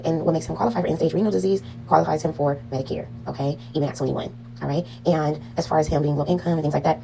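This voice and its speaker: A woman lectures through an online billing class in a quick, chatty way, checking in with her listeners as she goes. Her voice sits low, with a gravelly fry and a mellow tone. A faint hiss runs underneath the recording. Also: low-quality recording. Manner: chatty, frequent "um" and "okay?" check-ins. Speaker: medical billing instructor.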